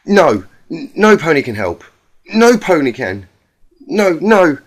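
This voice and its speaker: A man acts out a distraught young pegasus mare from a fan-fiction story. Her voice is pitched high and strained with panic. She stammers breathlessly and repeats herself, pleading to be left alone. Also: sing-song, takes it slowly, full character voice. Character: young female pegasus pony, terrified, distraught, pushing help away.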